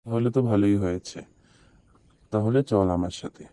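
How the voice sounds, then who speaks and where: A young city man in a horror cartoon, played by a man. He talks casually and conversationally. His voice is fairly low and deeply mellow, with very little brightness. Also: moderate pace, dry recording, natural voice. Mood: friendly. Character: young city man visiting an eerie village. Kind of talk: horror cartoon story dubbing.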